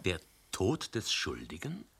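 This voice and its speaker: An actor playing a blunt, incredulous police commissioner with an emphatic, probing delivery in a German radio play. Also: male voice, deep voice, wildly swooping pitch, slow, heavy background noise, heavily acted. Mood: reproachful.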